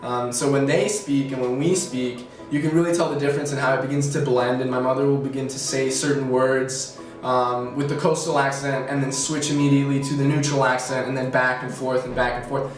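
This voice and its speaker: A man's voice: mid-range voice, flat delivery, fairly quick, hardly any pauses. A young man from Colombia answering interview questions in a casual, rambling way, with many fillers and self-corrections. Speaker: student interviewee. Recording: echoey room from far off, music behind.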